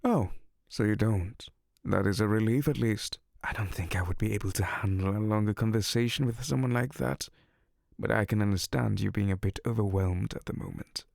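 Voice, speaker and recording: A man's voice: lowish voice, moderate pace, vocal fry, a little mellow, no room sound, studio quality. A man voicing an exasperated, sardonic male incubus demon in an ASMR audio roleplay, theatrical and sarcastic.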